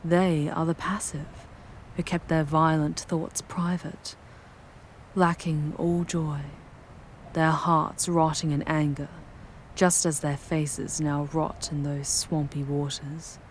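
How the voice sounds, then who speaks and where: A woman voicing a charming, darkly seductive demoness in a scripted ASMR roleplay, speaking in measured, ornate, ominous phrases that drift toward breathy near-whispers. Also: sits low, level tone, slight background noise, poor audio. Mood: ominous, solemn. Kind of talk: scripted ASMR audio roleplay, dramatic monologue.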